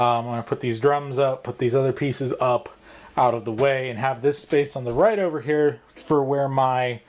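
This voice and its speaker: A man speaks in a mid-range voice with an informal vlog delivery. He explains his tips and plans casually, rambling a little as he thinks aloud to his viewers. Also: mid-range voice. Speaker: vlogger, video editor. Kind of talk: casual vlog talk and tutorial.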